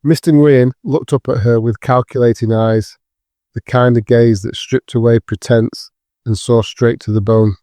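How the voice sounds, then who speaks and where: A man's voice: mid-range voice, steady tone, normal speed, warm tone, no room sound, studio quality. A man reading scripted narration for an audio drama.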